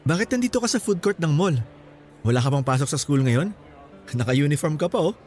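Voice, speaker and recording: Playful young male suitor, acted by a man, Filipino accent, animated pitch, teasing casual radio drama delivery, light music underneath. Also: a little fast, lightly acted. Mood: friendly.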